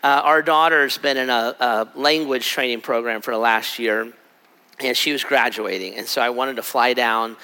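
A middle-aged man preaching a sermon in a conversational way, his voice raspy from travel. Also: mid-range voice, steady tone, bright, forceful tone. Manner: conversational, acts out quick asides. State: raspy from a cold trip. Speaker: pastor. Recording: hall with long reverb.

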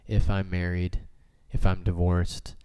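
A man in his late twenties talks in a low, mellow voice. He explains his points casually, as a panelist in a discussion would. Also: level tone, measured pace, clean tone. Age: late 20s.

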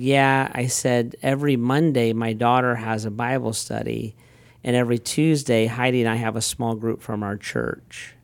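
Smooth-voiced man telling chatty stories. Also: mid-range voice, no echo. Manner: anecdotal, chatty, frequent restarts and "da, da, da" fill-ins. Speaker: pastor. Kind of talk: casual conversational storytelling.

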